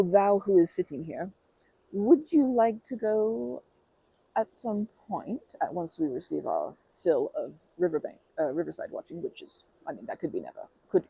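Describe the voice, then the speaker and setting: A performer plays a nervous stranger shyly flirting in an ASMR roleplay. The voice is mid-pitched, soft-edged and mellow with a creaky grain, recorded close and dry. The speech is polite, ornate and full of hesitant, apologetic detours. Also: no room sound, exaggerated voice, rough recording. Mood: playfully formal.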